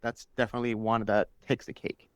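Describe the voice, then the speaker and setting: A man's voice: fairly low pitch, little pitch movement, a little fast, smooth, dry recording. A man whose first language is Spanish, chatting enthusiastically and casually as a guest telling stories on a podcast. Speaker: interview guest, superfan.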